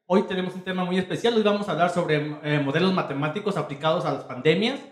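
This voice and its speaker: A man's voice: sits high, fast. A man hosting a conversational podcast interview, hesitantly repeating phrases as he searches for words. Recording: echoey, roomy sound.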